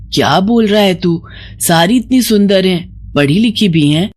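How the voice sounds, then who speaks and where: A woman voicing a doting middle-aged village mother who scolds fondly and questions excitably in a moral story. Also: mid-range voice, measured pace. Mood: eager. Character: doting, fussing village mother, eager for son's wedding.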